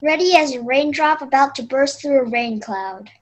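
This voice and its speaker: A woman's voice: sits high, normal speed, natural voice. A woman playing an eager, folksy hunting sidekick in a children's readers theater piece, declaiming the lines. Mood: excited.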